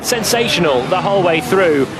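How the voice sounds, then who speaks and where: A man's voice: high-pitched, expressive, normal speed, over music. A man co-commentating on a live motor race, giving analytical expert remarks. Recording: clear engine noise behind. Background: racing car engines.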